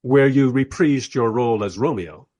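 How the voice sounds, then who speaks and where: An actor playing a wry, irreverent writer in a radio play, speaking in a dry, measured, slightly husky way as narrator and polite interviewer. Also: male voice, mid-range voice, a little mellow, muffled recording, lightly acted. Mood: politely probing.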